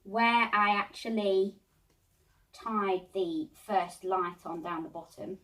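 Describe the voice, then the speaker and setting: A woman with a mid-pitched voice guides a craft tutorial in a casual, chatty way. She talks to herself as she works through each step. The microphone catches her from a little distance at times. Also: slow pace, a bit bright. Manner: chatty, self-talking while working.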